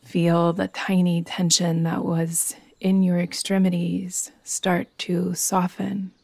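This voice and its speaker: A woman teaching yoga nidra, guiding a meditation in a soothing, gentle way with long, unhurried pauses. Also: lowish voice, monotone, vocal fry, no echo. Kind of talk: guided meditation.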